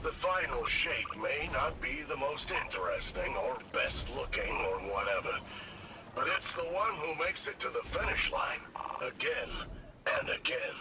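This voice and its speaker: An actor playing a small machine companion in a video game, a misfit devotee who muses in a plain, confiding way. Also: male voice, mid-range voice, big pitch swings, measured pace. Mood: musing. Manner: musing, plain-spoken, confiding. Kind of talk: video game character monologue. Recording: loud ambient noise behind the voice. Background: loud ambience.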